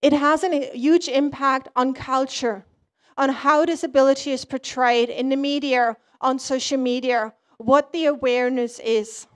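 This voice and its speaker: A woman from East Germany, a native German speaker, giving a prepared conference talk as an academic, explaining in short, measured phrases. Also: high voice, fairly even intonation.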